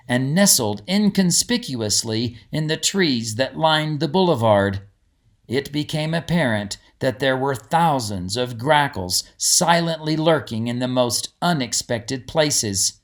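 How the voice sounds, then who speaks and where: A male audiobook narrator reads a storybook with expression. His mid-pitched voice rises and falls in a lively way as he tells the tale.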